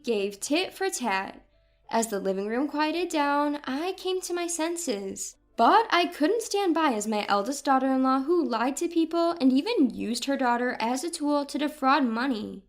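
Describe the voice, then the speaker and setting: A woman reading a scripted first-person story in an expressive, dramatized way. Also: high voice, unhurried, faint music behind. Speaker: story narrator.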